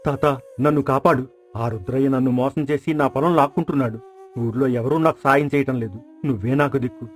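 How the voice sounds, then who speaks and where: A man's voice: medium pitch, fairly even intonation, fast, faint music behind, lightly acted. A man voicing a wronged, cunning village farmer who pleads, in an acted moral story. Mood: desperate, pleading.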